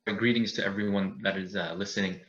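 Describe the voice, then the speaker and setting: A man with a bright, forward voice talks in a soft-spoken, easygoing way. He is heard over a video call, with a roomy echo and a dull, muffled audio quality. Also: low voice, steady tone, a little fast. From Canada. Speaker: imam, interview guest.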